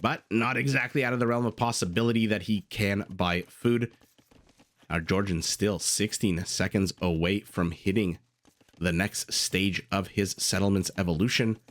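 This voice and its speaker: A man with a slightly low, raspy voice casts a strategy game match. He talks in a chatty, excitable way and drifts into asides and exclamations. He speaks close to a desktop condenser mic. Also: moderate pace. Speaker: game caster. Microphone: desktop USB condenser mic.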